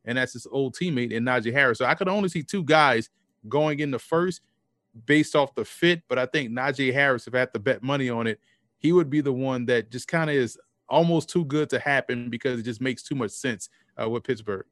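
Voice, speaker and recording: A man's voice: mid-range voice, fairly quick, fairly bright tone, dry recording. Dry-voiced man from New Orleans. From New Orleans, Louisiana. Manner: explanatory, restarts phrases often. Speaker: football analyst guest. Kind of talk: sports podcast interview.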